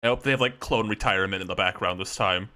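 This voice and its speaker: A man in his twenties co-hosting a podcast in casual chat, laughing often and talking in rapid bursts. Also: low-pitched, pushed and bright. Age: 20s. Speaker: podcast co-host.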